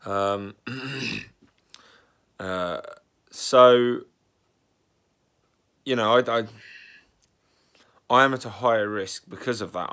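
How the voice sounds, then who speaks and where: A young man with a fairly low voice talks through his worries in a casual vlog. He sounds bunged up and a bit raspy from a cold, and clears his throat now and then as he rambles anxiously. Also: fairly bright tone. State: congested and slightly raspy from a cold. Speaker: vlogger.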